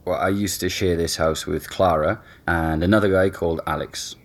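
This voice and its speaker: An actor playing an easygoing young boyfriend being interviewed at home, casual and hesitant in a naturalistic audio drama. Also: male voice, low voice, steady tone, normal speed.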